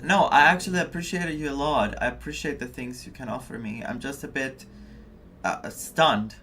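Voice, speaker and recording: A man's voice: medium pitch, normal speed, sharp tone, slight background noise. A man who is not a native English speaker gives casual, reflective live commentary as a game streamer, searching for words.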